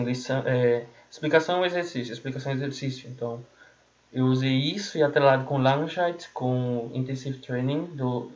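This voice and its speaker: A man's voice: medium pitch, darkish tone. Male tutor, Brazilian accent, mid-range voice, colloquial and explanatory, circles back to rephrase; dull lo-fi recording. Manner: colloquial, repeats and rephrases himself. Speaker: language tutor giving learning tips. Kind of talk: informal explanatory talk. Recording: dull, muffled lo-fi audio.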